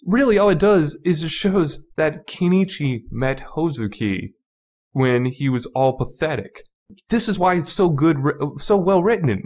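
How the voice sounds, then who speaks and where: A man hosting a review podcast in a casual, opinionated style, rambling emphatically and repeating his words as he argues his points. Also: medium pitch. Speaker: podcast host reviewing a visual novel.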